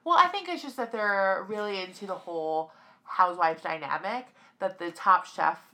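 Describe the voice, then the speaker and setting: A young woman co-hosting a podcast in casual chat, teasing and chatty, with a loud voice. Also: medium pitch, normal speed. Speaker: podcast co-host. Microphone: podcast microphone.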